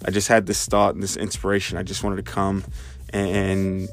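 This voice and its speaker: A man's voice: sits low, completely flat delivery, moderate pace. A man in his thirties, congested, hosting a podcast and thinking aloud, with many fillers and restarts. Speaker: podcast host. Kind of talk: casual spoken reflection.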